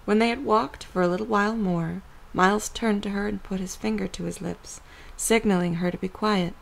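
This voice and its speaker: A woman's voice: mid-range voice, steady tone, normal speed, gravelly, low-quality recording. A woman narrator reading a scripted story for an audio drama.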